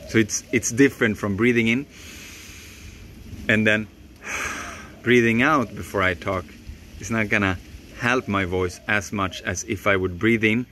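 A young man whose first language is Swedish, teaching voice technique to the camera in a slow, calm and deliberate way with long pauses, outdoors in a tropical garden. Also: lowish voice, a little hiss or hum. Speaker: voice coach.

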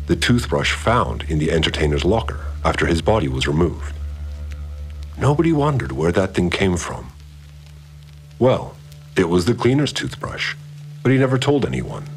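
An actor playing a brooding, methodical investigator in an audio drama, speaking in a measured, deliberate, analytical way. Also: male voice, low voice, big pitch swings. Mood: intrigued, deliberate. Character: methodical, brooding male investigator puzzling over a murder. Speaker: narrator investigator. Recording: music behind the voice. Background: music.

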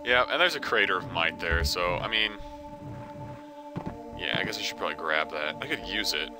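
A young man with a slightly low voice talks through his gameplay in a loose, joking way, sounding a little congested. Loud game music plays behind him the whole time. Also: animated, normal speed. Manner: joking, self-talking. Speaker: let's play commentator. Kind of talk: casual gameplay commentary.

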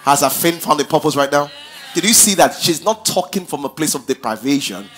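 A man's voice: a little high, lively intonation, a little fast, fairly bright tone. A middle-aged man with a Nigerian accent leads a sermon Q&A from a big church stage. He prompts and echoes the speaker in front of him, with faint music playing in the hall. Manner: repeats and echoes guests' answers, prompting them. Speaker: pastor. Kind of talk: sermon with audience Q&A. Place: large church auditorium stage.

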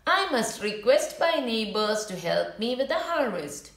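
A woman reading the lines of a thoughtful, resolute male farmer in a storybook, in a deliberate way. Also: on the high side, sing-song, fairly bright tone, heavily acted. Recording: roomy, echoing room.

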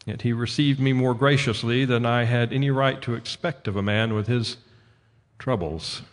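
An actor playing a courteous, pedantic astronomy professor in a radio drama, speaking formally with some hesitation. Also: male voice, medium pitch, moderate pace, big-room sound, close to an everyday voice. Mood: grave, urging. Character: formal, detached male astronomy professor, troubled by a family's plight.

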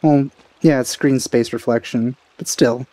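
A man's voice: medium pitch, steady tone, measured pace, mellow, no room sound, low-quality recording. A man giving casual commentary as he plays a video game. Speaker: let's player. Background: game music.

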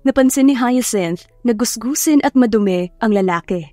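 High-voiced woman narrating an audiobook. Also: moderate pace, mellow, no echo. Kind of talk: scripted storytelling narration. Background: background music.

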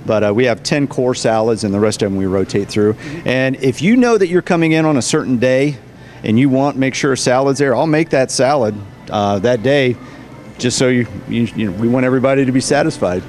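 A man's voice: mid-range voice, brisk, darkish tone. An American man in his forties, a cafe owner explaining his business casually in an interview. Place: indoors in a cafe, behind the counter. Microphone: interviewer's handheld microphone. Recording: clear background noise on location.

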